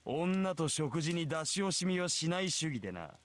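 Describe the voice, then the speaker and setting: A man's voice: fairly high pitch, sing-song, quick pace. An actor voicing an arrogant, merciless heroic king in a visual novel, with a haughty, sneering and imperious manner. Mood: amused, predatory. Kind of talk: Japanese visual novel voice acting.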